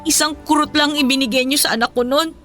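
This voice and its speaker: A woman's voice: high-pitched, fairly even intonation, fast, fairly bright tone. A woman playing a long-suffering, principled mother in a radio drama, speaking in an earnest, emotional way. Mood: hurt, reproachful. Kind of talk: Filipino radio drama acting. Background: music.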